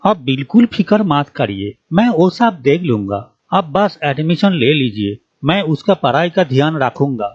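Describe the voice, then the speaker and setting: A man playing an uneasy, bad-tempered village father in an animated story, speaking with dramatic emphasis. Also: a little high, measured pace, hardly any pauses, slightly rough, no echo, full character voice. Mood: reassuring. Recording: dull lo-fi studio track, faint music.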